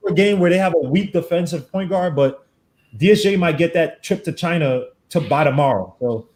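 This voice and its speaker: A man hosting a podcast, arguing his point in a fast, emphatic, animated way. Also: a little high. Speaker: podcast host. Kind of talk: casual sports debate. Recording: echoey room.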